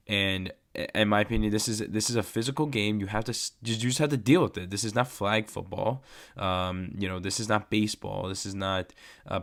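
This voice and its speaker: A young man with a stuffed-up nose from a cold, hosting a casual sports opinion vlog and pressing his points with fired-up repetition. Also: fairly low pitch. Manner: fired-up, repeats himself for emphasis. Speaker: host.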